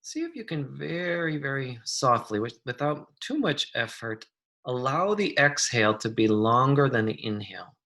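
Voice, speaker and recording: A meditation guide with a mid-pitched voice leads a guided practice. The delivery is gentle and soothing, with unhurried phrases and long pauses between instructions. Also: big pitch swings.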